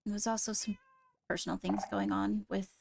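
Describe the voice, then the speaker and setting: Woman with creaky voice, measured roleplay dialogue. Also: medium pitch, flat delivery, noisy background. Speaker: roleplayer playing a court leader. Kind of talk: improvised in-character conversation in Minecraft roleplay.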